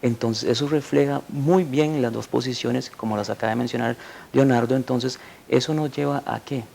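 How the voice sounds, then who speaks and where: Gravelly researcher man. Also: medium pitch, fairly even intonation, normal speed, a little mellow, a little hiss or hum. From Costa Rica. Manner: conversational, repeats "verdad" and "por ejemplo". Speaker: researcher guest. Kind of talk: academic interview answer.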